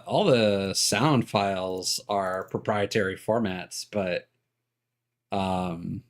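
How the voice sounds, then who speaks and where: A man live streaming a video game, chatting casually and thinking aloud in a halting way as he troubleshoots. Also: fairly high pitch, fairly bright tone.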